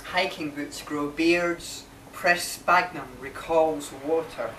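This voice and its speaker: A man, reading his poetry live in short, measured phrases. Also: a little high. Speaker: poet reading own work. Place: marquee with a small seated audience. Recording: distant, echoey, noisy live recording.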